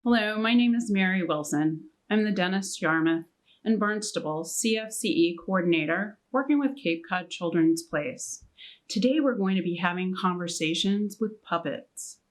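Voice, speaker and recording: A woman's voice: on the low side, moderate pace. A woman coordinator delivering a scripted, informational announcement for a family programme in a formal manner.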